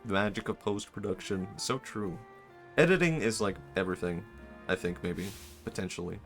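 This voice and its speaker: A young man chats live in a very low voice, rambling and hesitant, full of fillers and restarts. He pokes fun at himself as he goes. Faint music plays under his voice. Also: moderate pace. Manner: self-mocking, laughs softly. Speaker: streamer. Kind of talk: casual live-stream chat.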